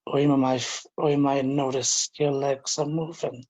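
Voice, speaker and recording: A man leading a guided relaxation, speaking in a gentle, informal and halting way, often repeating his phrases. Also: medium pitch, level tone. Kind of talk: guided relaxation, meditation instruction.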